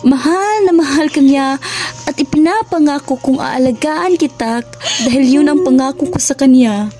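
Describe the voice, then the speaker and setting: A woman plays an earnest young activist heroine in a radio play. Her high, mellow voice is tender and reassuring. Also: normal speed, soft background music, natural voice. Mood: soothing. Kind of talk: amateur radio drama acting.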